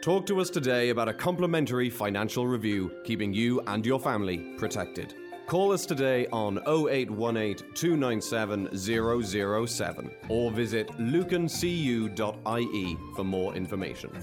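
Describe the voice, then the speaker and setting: A man's voice: mid-range voice, expressive, moderate pace, music in the background. A man reading a scripted radio advert as a voice-over.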